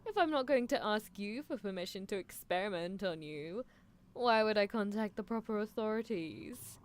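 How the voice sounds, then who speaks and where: A woman's voice: medium pitch, lively intonation, moderate pace, natural voice. A woman playing a possessive, coolly unethical female mad scientist in a live ASMR roleplay, coaxing the listener with theatrical delivery. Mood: haughty, amused. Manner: languid, theatrical, coaxing, with soft chuckles.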